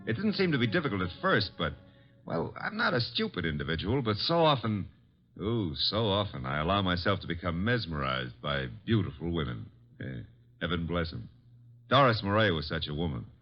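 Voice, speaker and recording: Male wisecracking private eye's legman, vintage radio; lowish, very animated delivery, wry and breezy. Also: brisk. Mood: wry, smitten. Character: wisecracking, girl-smitten male detective's assistant and narrator. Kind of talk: old-time radio drama acting and narration. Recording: muffled vintage radio broadcast.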